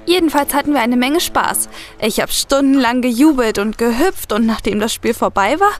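A woman's voice: fairly high pitch, animated, normal speed, soft background music, lightly acted. A young woman playing a chatty, slightly hesitant client who seeks detectives' help in an audio drama. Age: young adult.

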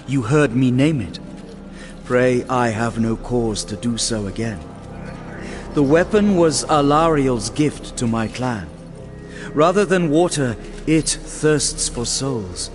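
Slow, stern elf prince. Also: male voice, mid-range voice, lively intonation. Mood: solemn, calm. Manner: formal, measured, commanding. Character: proud, stern elf prince, solemn warrior. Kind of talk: audio drama acting. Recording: echoing cavern reverb with music.

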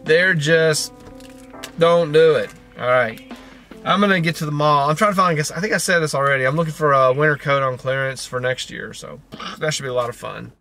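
A man's voice: on the high side, brisk, vocal fry, bright, forceful tone, soft background music. A man in his fifties chatting casually to a vlog in a rambling, wry, self-deprecating way, recorded in a car. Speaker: vlogger. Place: inside a parked or moving car.

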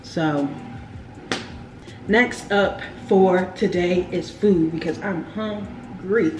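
A young woman vlogging from her home kitchen, chatting playfully to viewers as she makes a smoothie. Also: on the low side, drawn-out words. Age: young adult. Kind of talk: casual vlog chat to camera. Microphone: camera on the counter. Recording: echoey kitchen with household noise.

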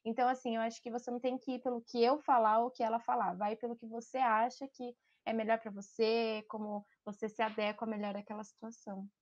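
A woman's voice: fairly high pitch, level tone, quick pace, hardly any pauses. A young woman, a university student, giving friendly advice in a casual chat on a video call. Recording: dull lo-fi video call audio.

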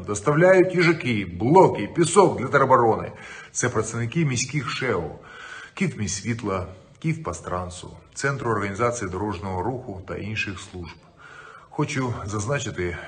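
A man's voice: on the low side, fairly bright tone. A middle-aged man, a city mayor, giving a firm official video briefing to residents from his office desk into a webcam. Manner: firm, emphatic, informative. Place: indoor office with flags.

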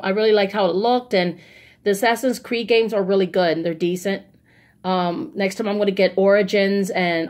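Casting: female haul vlogger, mid-pitched voice, informal, rambling, chatty delivery. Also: darkish tone. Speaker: vlogger showing shopping hauls. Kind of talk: casual haul vlog chat.

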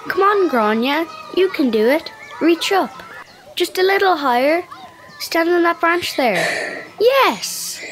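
Casting: woman for adventurous boy in children's audio drama, high, animated delivery. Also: slow pace, heavily acted, low-quality recording. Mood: eager. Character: eager, adventurous young boy, best friend.

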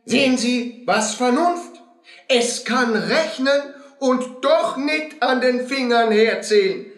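A man's voice: extremely high pitch, takes it slowly, a bit bright, roomy sound, full character voice. A man playing a pompous fairground showman in a German radio play, addressing a crowd with bombast. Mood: showy, grandiose. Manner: bombastic barker addressing a crowd, broken grammar. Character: pompous fairground showman presenting a learned horse.

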